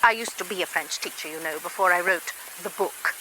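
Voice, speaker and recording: A woman's voice: on the low side, fairly quick, bright, forceful tone, slight background noise, poor audio. Wry, confiding British woman. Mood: wry, candid. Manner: chatty, dryly humorous, confiding. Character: wry, self-deprecating lady psychologist, former French teacher. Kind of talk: radio drama first-person narration.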